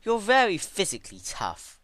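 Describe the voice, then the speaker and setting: A man's voice: high-pitched, wildly swooping pitch, normal speed, fairly bright tone, no room sound, pitched above the performer's own voice, heavily acted. A man voicing a cruel female pony villain who taunts with mock sweetness in a fan-fiction story. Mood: gloating.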